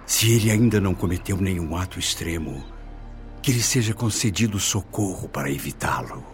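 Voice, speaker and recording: A man's voice: low voice, lively intonation, moderate pace. An actor playing a compassionate, devout middle-aged father in a Brazilian radio soap, speaking with earnest, solemn concern. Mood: prayerful pleading. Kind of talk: radio soap opera acting. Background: music.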